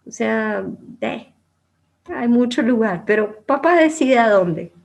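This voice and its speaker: A woman's voice: a little high, animated, slow, gravelly, a little hiss or hum. A middle-aged woman, telling her life story as an interview guest in a reflective, conversational way. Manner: reflective, with soft laughs and some trailing quiet phrases. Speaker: interview guest, former producer and journalist.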